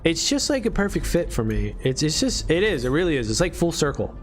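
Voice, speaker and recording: A man's voice: fairly high pitch, very animated, fairly quick. A man in his late thirties, chatting casually on a live stream in loose, profane slang full of "dude" and "bro". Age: late 30s. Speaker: livestream host.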